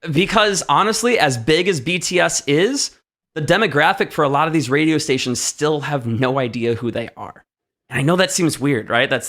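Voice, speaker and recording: A man's voice: on the high side, fairly bright tone. A man hosting a talk show, giving conversational commentary in an emphatic, explanatory way and often checking in with rhetorical questions. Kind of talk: conversational music industry commentary.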